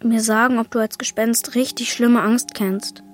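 A woman's voice: a little high, fairly even intonation, normal speed, faint music behind, natural voice. A woman playing an earnest, anxious eleven-year-old boy in a children's radio play, speaking simply, like a child.

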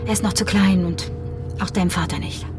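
An actress playing a loving, anxious mother in a German radio drama, speaking to her young son in a gentle, pleading way. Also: fairly low pitch, a little fast, music in the background, lightly acted. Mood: tender, worried.

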